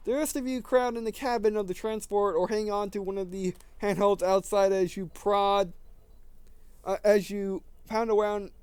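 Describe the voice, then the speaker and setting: A man's voice: high-pitched, a little mellow, clear, resonant tone, no echo. A man hosting a gaming video, reading visual novel text aloud with casual commentary and often stumbling and rereading phrases.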